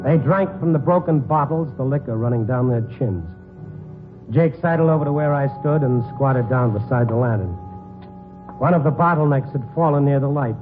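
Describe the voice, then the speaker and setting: An actor playing a grim, wry newspaper reporter who narrates a noir radio drama in a brooding, clipped, dramatic way. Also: male voice, medium pitch, moderate pace, over music, natural voice. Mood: tense, wry. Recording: tinny 1950s radio broadcast recording.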